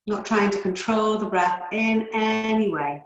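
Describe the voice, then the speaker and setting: A woman yoga teacher leading guided relaxation and breathing in a calm, soothing, unhurried way with long pauses. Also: medium pitch, dull audio, soft background music. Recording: roomy, echoey indoor sound.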